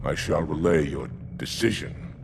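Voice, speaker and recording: A man's voice: extremely low pitch, unhurried, vocal fry, very noisy, heavily acted. A man voicing a proud alien military commander in a game, formal and stern. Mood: restrained deference.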